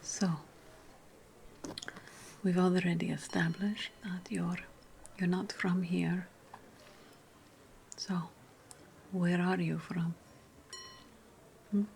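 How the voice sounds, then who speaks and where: A woman's voice: fairly low pitch, flat delivery, drawn-out words, creaky, long pauses, darkish tone, a little hiss or hum, heavily acted. A woman voicing a haughty, playful ancient Roman noblewoman in a whispered ASMR roleplay, teasing and savoring her words, often repeating phrases. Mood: playful, probing.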